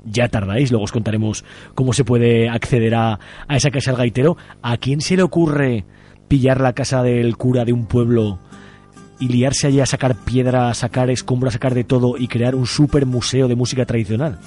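A man's voice: mid-range voice, steady tone, brisk, dark tone. Informal Aragonese male radio host, music behind. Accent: Spanish, from Aragon. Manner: informal, colloquial. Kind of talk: casual radio interview chat.

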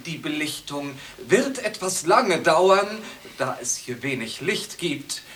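An actor playing a deliberate comic figure in an academy farce for radio. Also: male voice, fairly high pitch, lively intonation, fairly bright tone, slight background noise. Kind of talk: farcical radio play acting.

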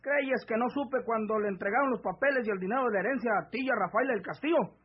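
An actor playing a scheming, greedy husband from the countryside, with a rural northern Mexican accent and an insistent, rustic way of talking, in an old radio serial. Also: male voice, extremely high pitch, fast, slightly rough. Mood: pushy, aggrieved. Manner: rustic, insistent, pressing speech. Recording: dull vintage AM radio drama recording.

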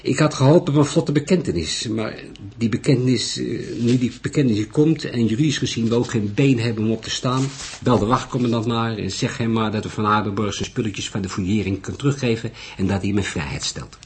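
A man voicing a calm, wily old police detective in an audiobook, hesitant, measured and probing. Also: mid-range voice, slightly rough.

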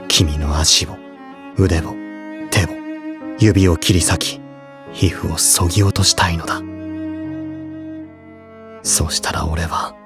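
A man's voice: sits low, steady tone, slow, long pauses, music in the background. An actor playing a brooding, anguished teenage boy delivering an obsessive confession in an audio drama monologue. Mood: dark obsession, then desolate, pleading.

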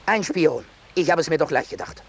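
An actor playing a suspicious, pompous army major in a 1950s German radio drama. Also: male voice, fairly high pitch, expressive, husky. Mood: suspicious, indignant. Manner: barking, pompous, slow-witted. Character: suspicious, blustering army major of a fictional Balkan state.

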